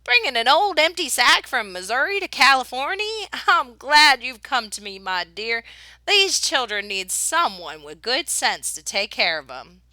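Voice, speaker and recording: A woman puts on a jovial frontier husband from a storybook. He is hearty, teasing and a bit smug, speaking brightly with a lively rise and fall. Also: high voice, measured pace, dry recording, voice pushed up, heavily acted. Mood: amused, smug.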